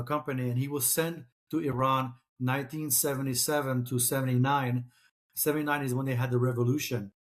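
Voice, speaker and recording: A middle-aged man originally from Czechoslovakia, whose first language is Czech, answering interview questions. His voice sits at an ordinary middle pitch. He stumbles and restarts often, padding his sentences with fillers. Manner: hesitant, many restarts and fillers. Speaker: author and guest. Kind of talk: conversational interview.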